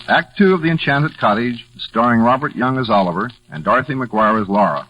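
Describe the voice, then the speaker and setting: A man's voice: medium pitch, expressive, brisk. A man giving scripted narration in the style of 1940s radio drama. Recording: muffled vintage radio recording with loud music.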